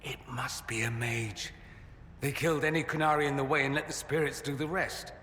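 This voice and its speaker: A man's voice: mid-range voice, steady tone, brisk, pushed and bright, close to an everyday voice. An actor playing a cultured, sardonic male mage in a video game, speaking in a wry, articulate, musing way. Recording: echoey hall, faint background noise.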